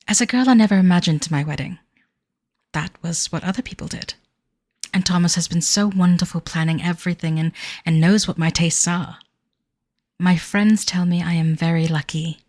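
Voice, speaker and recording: Actress as 1930s fiancée in audio drama: lowish voice, formal, wistful diary narration, devoted yet uneasy, close dry sound. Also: female voice, no room sound. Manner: formal, wistful, measured period diction. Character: young bride-to-be in 1930s, devoted but uneasy.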